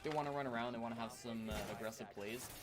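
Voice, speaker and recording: Man, Canadian accent, esports caster, live commentary, rapid pace, average pitch. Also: steady tone.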